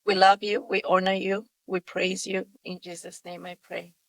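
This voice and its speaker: A woman's voice: mid-range voice, little pitch movement, moderate pace, no room sound, poor audio. Eager female podcast host. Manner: enthusiastic, warm welcoming. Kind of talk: podcast interview with prayer.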